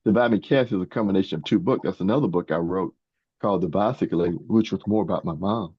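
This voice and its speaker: An older man in his sixties or beyond, answering interview questions as a guest author in a halting way, often repeating words. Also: deep voice, husky. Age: 60s or older. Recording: dull, muffled lo-fi sound.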